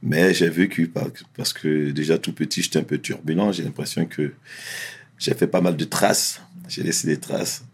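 A man who grew up in France, telling his life story in a relaxed, slangy, candid way as an interview guest. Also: extremely low pitch, moderate pace, husky.

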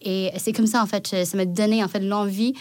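A woman in her late twenties, raised in the United States, speaking haltingly with many hesitations as a podcast guest. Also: medium pitch, steady tone, a little mellow, no echo. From China, raised in the United States. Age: late 20s. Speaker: guest, social start-up founder. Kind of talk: podcast interview.

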